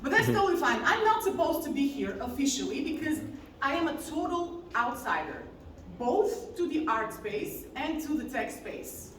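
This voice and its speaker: A woman, giving an earnest, confessional talk from a conference stage and slowing down on key words. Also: high-pitched, very animated. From Bulgaria. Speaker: conference speaker. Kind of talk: personal story talk on stage. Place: large conference hall stage. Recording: very echoey hall, distant mic, faint noise.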